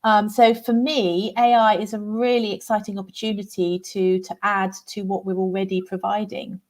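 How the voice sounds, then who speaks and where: A woman co-hosts a relaxed discussion in an even, mid-pitched voice. She talks thoughtfully and often finishes her points with tag questions. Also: normal speed. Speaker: co-host, head of educational content. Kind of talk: casual discussion.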